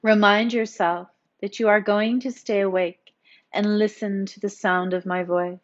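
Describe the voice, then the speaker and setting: A woman yoga instructor narrating a guided meditation in a soothing, gently encouraging, instructional manner. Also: medium pitch, fairly even intonation, measured pace, dull audio.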